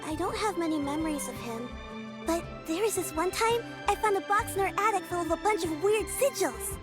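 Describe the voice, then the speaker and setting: A woman's voice: extremely high pitch, lively intonation, brisk. A woman voicing a shy, earnest little village girl in a video game, with a hesitant, childlike way of talking. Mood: thoughtful. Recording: music under the voice.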